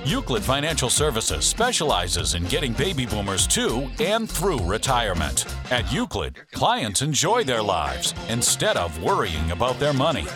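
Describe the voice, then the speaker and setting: A man reading a scripted radio show intro in a lively announcer delivery. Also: medium pitch, moderate pace. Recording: intro with music bed.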